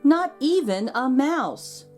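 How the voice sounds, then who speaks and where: A woman reading a children's poem aloud in an expressive, dramatic storytelling manner. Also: high-pitched, slow. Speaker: children's story reader and host. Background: background music.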